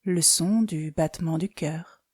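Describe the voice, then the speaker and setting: Woman doing guided relaxation, soft dark mellow tone, calm n soothing, leaves pauses between phrases. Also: deep voice, breathy edge. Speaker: yoga nidra instructor. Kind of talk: guided meditation.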